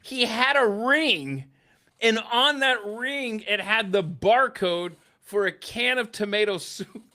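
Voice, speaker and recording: A man hosting a casual talk show, telling stories in an animated, emphatic, jokey way. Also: sits high, slow. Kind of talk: casual chat, storytelling.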